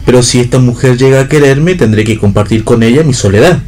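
A man plays a penniless drifter in a read radio play. He is wry and free-spirited, with a voice of ordinary male pitch. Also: quick pace, darkish tone, slight background noise, lightly acted. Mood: wry, plain. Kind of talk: staged play reading for radio.

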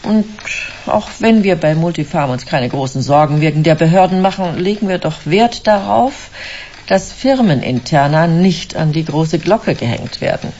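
An actress playing a shrewd, businesslike corporate executive with a faintly amused manner in a radio play. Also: female voice, low voice, expressive, normal speed, warm tone, muffled recording, a little hiss or hum. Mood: cool, businesslike. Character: cool, shrewd female corporate executive hiring a detective.

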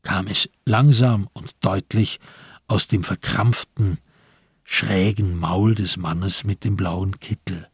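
A man narrating a literary radio drama in a measured way with dramatic emphasis. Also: sits low, steady tone, slightly rough, dry recording, studio quality.

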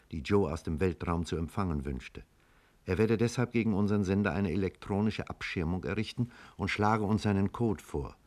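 A man playing the narrator of a 1950s German radio drama, with measured, literary delivery. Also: low voice, natural voice. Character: male narrator recounting events as a scientist.

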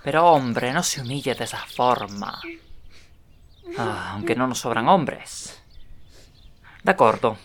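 An actor playing a callous, domineering Italian army officer with an Italian accent in an audio drama, commanding and contemptuous. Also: male voice, mid-range voice, animated, slow pace, pauses often, a bit bright. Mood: brisk, commanding.

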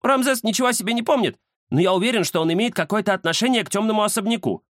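A man voicing a chatty, mischievous young cat with an excitable, teasing manner in a children's story. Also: sits high, very fast, no echo, clean studio sound, pitched above the performer's own voice. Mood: excited, cheeky. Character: cheeky chatty round-faced young male cat, mischievous.

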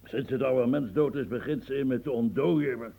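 A man's voice: medium pitch, moderate pace, creaky, mellow. An actor playing a blunt, irritable old marquis in his seventies with a cold, dictating in a coarse, rustic way. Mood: proud, grumbling. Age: 70s. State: snotty cold, coughing. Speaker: elderly marshal dictating. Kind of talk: radio drama acting.

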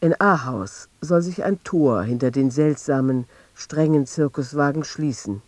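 A man with a slightly high voice narrates a literary radio drama. He speaks in measured, precise phrases, recorded close and dry. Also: no room sound.

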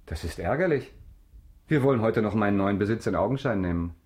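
A man's voice: fairly low pitch, animated, fairly quick, a little mellow, breathy edge. An actor playing a commanding aristocratic count in a German radio drama, polished, ironic and probing in his questions. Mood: cool, commanding.

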